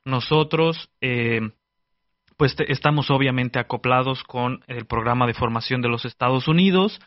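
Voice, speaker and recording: A man hosting a radio talk show, measured, with frequent hesitations. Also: medium pitch, no echo, dull audio. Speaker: radio host.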